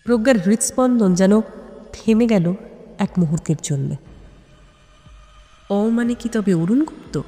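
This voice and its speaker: A woman narrates a detective story in a suspenseful, deliberate way with dramatic pauses. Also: mid-range voice, a little mellow. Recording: long cavernous reverb on the voice.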